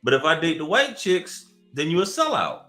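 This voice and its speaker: Bright, forceful American male host. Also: fairly high pitch, normal speed, soft background music. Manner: animated, slangy, punchy rhetorical questions. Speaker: talk show host. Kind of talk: casual live chat.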